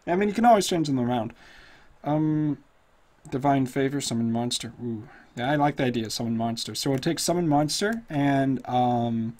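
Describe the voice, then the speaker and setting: A slightly hoarse man casually walking through a tabletop character build, thinking aloud with lots of fillers. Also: mid-range voice, normal speed, darkish tone. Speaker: tutorial host. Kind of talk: casual screen-recorded game tutorial.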